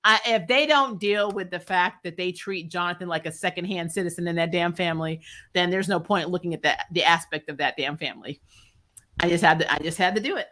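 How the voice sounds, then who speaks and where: A woman hosting a recap show, giving casual, opinionated TV review chat in an animated, blunt, exasperated way with plenty of swearing. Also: lowish voice, fairly quick. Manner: animated, exasperated, blunt and profane, mock-scolding; laughs often while talking.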